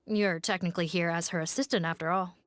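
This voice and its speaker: A woman's voice: on the low side, steady tone, quick pace, no room sound, lightly acted. A woman playing a serious police squad officer in a video game, composed and authoritative. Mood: composed, resolute. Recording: dry studio voice over faint music.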